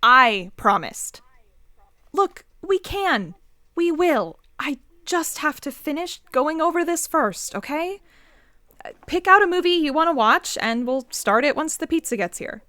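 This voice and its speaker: An overworked young American girlfriend in an audio play. Her voice is high and forceful, with very lively swings in pitch. She is pointed, demanding and exasperated with her partner. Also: unhurried, no room sound, full character voice. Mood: weary, impatient. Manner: pointed, insistent. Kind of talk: naturalistic audio play dialogue.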